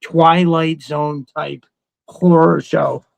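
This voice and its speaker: A middle-aged man co-hosting a casual fan chat, talking in an excitable, rambling, profanity-laced way. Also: on the high side, takes it slowly, vocal fry, no echo. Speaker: podcast co-host.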